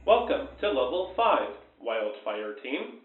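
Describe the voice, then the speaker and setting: An actor playing an impersonal facility announcement system in a radio play, giving formal instructions. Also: male voice, medium pitch, big pitch swings, slow. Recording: dull echoing PA announcement, music behind.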